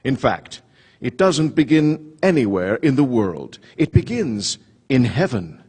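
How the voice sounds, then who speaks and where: A man announcing and narrating an old-style radio drama in a formal, measured way. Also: mid-range voice, big pitch swings, a little mellow, breathy edge, heavily acted. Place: theater stage.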